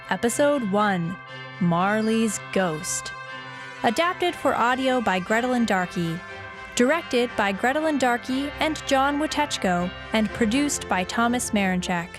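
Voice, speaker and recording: A woman's voice: a little high, animated, a little slow, over music. A woman reading scripted closing credits for an audio drama as its announcer.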